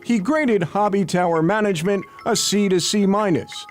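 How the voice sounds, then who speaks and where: American guy doing scripted tv news narration, voice on the high side, faint music under. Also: moderate pace. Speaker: investigative TV news reporter.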